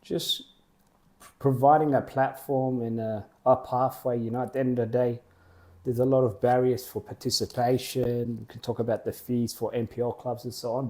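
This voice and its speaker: A young man, a football program co-founder, answering interview questions plainly in short, halting clauses. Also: medium pitch, warm tone. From Australia.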